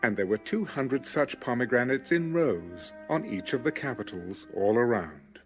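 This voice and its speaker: A man narrating scripture from a script in a measured, solemn manner. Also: mid-range voice, lively intonation, faint music behind. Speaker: Bible narrator.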